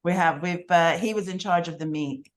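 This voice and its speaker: Woman caller from England, low voice, warm and earnest. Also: moderate pace. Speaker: caller joining a cook-along. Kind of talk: casual video-call chat. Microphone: video call.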